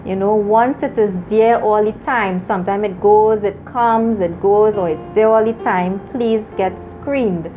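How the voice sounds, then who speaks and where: A woman hosts a talk show in an earnest, measured way, laughing easily with her guest. She speaks slowly and deliberately. The recording is a bit muffled, with soft music underneath. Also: mid-range voice, steady tone. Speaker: host.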